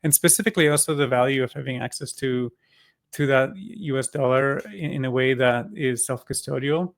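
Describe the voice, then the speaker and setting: A man who grew up in Singapore, a company co-founder answering interview questions, speaking haltingly with many fillers and restarts. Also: mid-range voice, dry recording, studio quality. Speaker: crypto company co-founder, guest.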